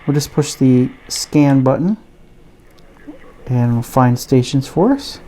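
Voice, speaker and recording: A man's voice: mid-range voice, lively intonation, slow, warm tone, poor audio. A man giving a casual product demonstration, explaining things plainly and without hurry. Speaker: gadget reviewer.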